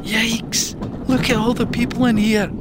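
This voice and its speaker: A man voicing a greedy, excitable young male raccoon in a high, whiny voice, in a storybook reading. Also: slow, very noisy, full character voice. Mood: startled.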